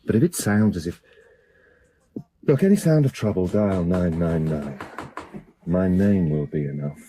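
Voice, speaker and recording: An actor playing a calm, world-weary widowed detective in a dry, clipped, understated way for radio drama. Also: male voice, deep voice, measured pace, slight background noise, poor audio. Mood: dry, steady. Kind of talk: naturalistic radio drama acting.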